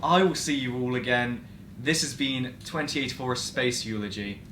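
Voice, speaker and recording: A man's voice: medium pitch, normal speed, pushed and bright. A man presenting a casual, chatty cast interview as host, with a playful, jokey manner. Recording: roomy, noisy set with people chattering.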